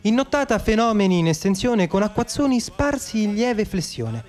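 Higher-voiced earnest radio co-announcer. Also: male voice, sing-song, normal speed, darkish tone, faint music behind. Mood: brisk bulletin. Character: mock-earnest male co-announcer of a satirical army radio programme. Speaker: radio co-presenter. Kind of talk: scripted satirical radio drama announcing.

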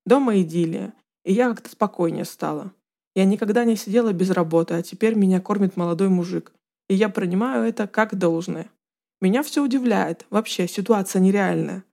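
A woman's voice: medium pitch, creaky, warm tone. A woman reading a first-person confessional account aloud as scripted narration.

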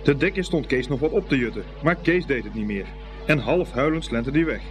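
A man with a middle-pitched voice narrates a radio play in storybook style. Music plays clearly behind his scripted telling. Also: animated, brisk.

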